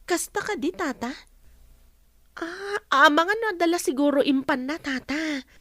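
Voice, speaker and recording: An actress playing an earnest, suspicion-prone young wife who sells farm produce, with a Filipino Ilocano accent, in radio drama style. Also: female voice, high-pitched, measured pace, fairly bright tone, no echo, clean studio sound. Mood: troubled, suspicious. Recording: music bed under radio drama dialogue.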